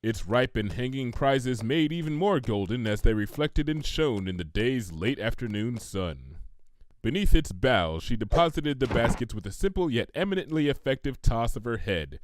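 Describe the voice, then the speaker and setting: A man narrates a story in an expressive, scripted style. His mid-pitched voice moves with lively intonation and sounds close and dry. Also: moderate pace, no echo.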